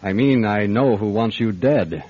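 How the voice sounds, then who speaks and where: Casting: confident radio detective, deep-toned, measured, knowing, on muffled old radio sound. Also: male voice. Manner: measured, wry, probing. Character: calm, probing male private detective, confident and unhurried. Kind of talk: radio drama acting with first-person narration. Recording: dull band-limited radio drama with music.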